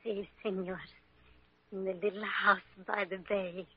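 An actress playing a gentle, ailing middle-aged Italian mother, wistful and loving, in tender broken English with an Italian accent in an old radio drama. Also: mid-range voice, level tone, a little slow, husky. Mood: wistful, fond. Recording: muffled old radio recording.

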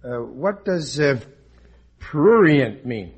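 An actor playing a blustering, stubborn, dim working-class husband of about forty in a 1940s radio comedy, often hesitant and ungrammatical. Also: male voice, medium pitch, animated, takes it slowly, breathy edge, muffled recording, heavily acted. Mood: puzzled, evasive. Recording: old radio broadcast recording.

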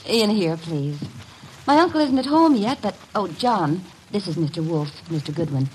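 A woman playing a polite, coy young lady in an old radio drama, courteous, expressive and theatrical. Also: fairly low pitch, normal speed, natural voice. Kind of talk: 1940s-1950s radio drama acting. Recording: noisy vintage radio recording.

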